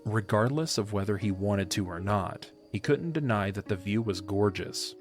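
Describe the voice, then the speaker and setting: A man with a fairly low voice reads scripted story narration for an audio play. Music plays softly underneath him. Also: normal speed.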